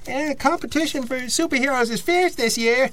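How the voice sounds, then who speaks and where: A flustered, nervous male aide in a comic audio play, acted by a man. His high, smooth voice stumbles and repeats itself as he hedges every answer. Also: moderate pace, a bit bright. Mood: nervous, hedging. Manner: stammering, hedging, nervous repetition. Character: flustered male mayoral aide breaking bad news. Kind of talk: comedic audio drama dialogue.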